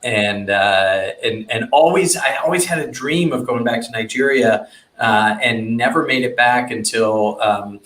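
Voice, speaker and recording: A male interview host on a video call with a mid-pitched voice. His words bounce around a roomy, echoey space, and he talks haltingly, restarting and repeating words as he goes. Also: fairly bright tone. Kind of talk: casual interview chat.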